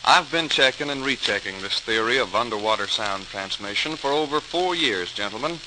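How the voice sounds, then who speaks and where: A man's voice: medium pitch, lively intonation, moderate pace, close to an everyday voice. Man playing an assured scientist on crackly old radio. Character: assured, precise male research physicist addressing officials. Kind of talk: 1940s radio acting. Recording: hissy, crackling 1940s radio recording.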